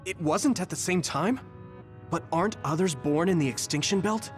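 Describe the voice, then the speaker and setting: An earnest young soldier, voiced by a man in a video game. He sounds thoughtful, with a fairly high male voice. Music plays clearly behind him. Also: moderate pace, natural voice. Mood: earnest, pondering. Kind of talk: scripted video game voice acting.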